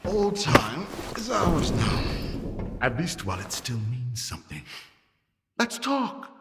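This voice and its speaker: An actor playing a taunting, zealous cult leader in a video game cutscene, preaching and mocking in a theatrical way. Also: male voice, medium pitch, huge pitch swings, slow pace, heavy background noise. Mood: smug, coaxing. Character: zealous, taunting male cult leader of a post-apocalyptic zone. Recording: echoey hall, music behind.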